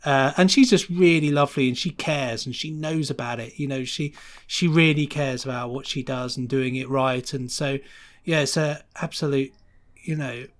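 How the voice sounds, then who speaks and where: A man's voice: medium pitch, fairly even intonation, normal speed. A man speaking as a podcast guest and sound designer, chatting casually and enthusiastically in an effusive, rambling way.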